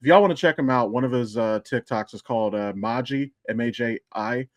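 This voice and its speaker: A man's voice: mid-range voice, brisk, no room sound. Rambling, foul-mouthed man in casual podcast chat. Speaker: podcast host.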